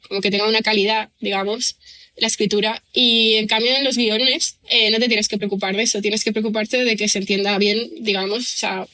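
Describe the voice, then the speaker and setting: Woman from chatting on a podcast, bright voice, kinda monotone, lots of um and o sea. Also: medium pitch. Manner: thoughtful, rambling with many fillers and restarts. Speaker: writer and screenwriter guest. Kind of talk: casual podcast interview.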